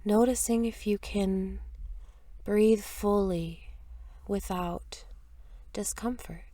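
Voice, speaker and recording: A woman leading a guided meditation in a soft, gentle, soothing voice, with unhurried phrases and long pauses, at times breathy. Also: mid-range voice, fairly even intonation, no echo. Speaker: meditation teacher.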